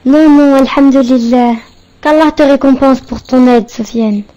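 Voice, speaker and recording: Cartoon kid, played by a woman: friendly, polite, clearly high-pitched, slightly dull recording. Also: steady tone, measured pace, warm tone. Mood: friendly, grateful. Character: friendly, polite, grateful young boy runner. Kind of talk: didactic cartoon dialogue.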